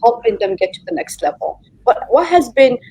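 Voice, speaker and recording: Husky-voiced woman interviewer. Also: a little high, animated, brisk, a little mellow, rough recording. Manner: encouraging, asks long questions with hesitations. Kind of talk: interview questions.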